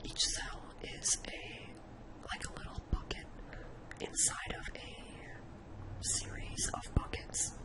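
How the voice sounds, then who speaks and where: An unvoiced, breathy whisper from a tutorial presenter, sex hard to tell. The delivery is informal ASMR, thinking aloud through each step, with computer keys tapping behind the voice. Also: poor audio. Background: computer keyboard typing.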